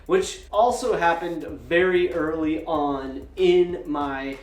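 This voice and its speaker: A man in his forties telling a personal story casually as a gaming vlogger, with theatrical emphasis and dramatic pauses. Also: fairly high pitch, faint music behind. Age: 40s. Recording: roomy, echoing sound.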